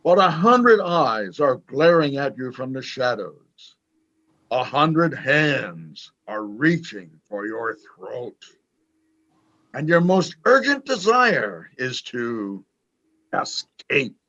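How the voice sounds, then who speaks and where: Slow, ominous man announcer, muffled audio. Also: mid-range voice, sing-song, sharp tone. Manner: theatrical, ominous. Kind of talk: dramatic scripted radio play introduction. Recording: dull, lo-fi video-call audio.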